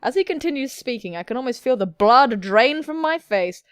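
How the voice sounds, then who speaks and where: A woman's voice: high voice, lively intonation, normal speed, clear, resonant tone, no room sound, studio quality. A woman hosting a gaming video, reading aloud and commenting in a playful, theatrical, cheeky way.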